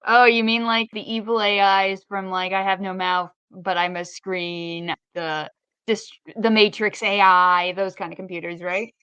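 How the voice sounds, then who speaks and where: A woman's voice: mid-range voice, level tone, a little slow, pushed and bright, clean tone, no room sound, dull audio. Woman chatting casually, close dry sound. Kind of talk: casual conversation.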